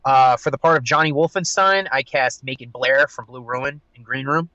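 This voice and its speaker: A man's voice: mid-range voice, steady tone, normal speed, pushed and bright, dry recording. Man in a lo-fi voice message. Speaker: listener sending in a voice message. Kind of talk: read-out recorded message. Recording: dull lo-fi recorded message.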